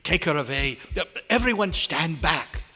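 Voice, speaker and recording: A man playing an excitable, authoritative German scientist in old-time radio horror, with a German accent and dramatic, formal, emphatic delivery. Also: fairly high pitch, moderate pace, a crowd in the background. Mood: alarmed. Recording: live hotel meeting room, long echo.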